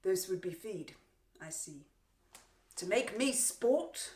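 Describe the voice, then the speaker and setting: A woman performing a Shakespearean stage monologue as a vengeful warrior queen, theatrical and taunting, with drawn-out pauses, gloating over a captive. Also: mid-range voice, lively intonation, darkish tone. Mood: mocking ceremony. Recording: roomy, echoing untreated room.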